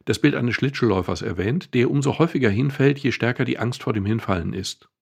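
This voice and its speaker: A man's voice: mid-range voice, quick pace, vocal fry, breathy edge. A man co-hosting a podcast, explaining things thoughtfully at length with some dry humor. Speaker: podcast co-host. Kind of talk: casual explanatory chat.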